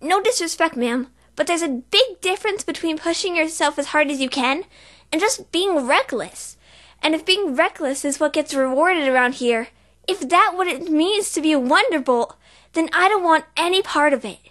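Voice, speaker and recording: A woman's voice: high-pitched, animated, moderate pace, a bit bright. A woman voicing a brash, boastful tomboy pegasus pony girl in a fan voice reel. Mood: cocky.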